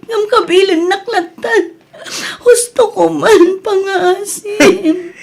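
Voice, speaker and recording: A woman's voice: very high voice, steady tone, slow, creaky, full character voice. A woman with an Ilocano Filipino accent playing a long-suffering, submissive young wife in an audio drama. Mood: sobbing, pleading.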